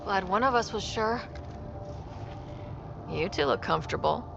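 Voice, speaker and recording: Wry young space explorer, muffled. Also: female voice, mid-range voice, expressive, measured pace. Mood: relieved, wry. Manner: casual, wry, determined. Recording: dull sound with clear background noise.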